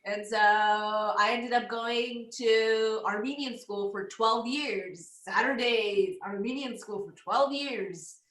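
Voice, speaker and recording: A woman's voice: on the high side, slow, few pauses, a bit bright. A woman talking casually as a guest, laughing easily and restarting her sentences. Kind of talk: casual conversation. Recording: roomy echo.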